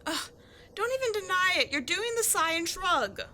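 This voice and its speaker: A woman playing a cocky, sarcastic tomboy adventurer in an audio roleplay, slangy and expressive in her exasperation. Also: very high-pitched, normal speed, full character voice. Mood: indignant. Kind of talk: scripted ASMR-style audio roleplay, one-sided dialogue.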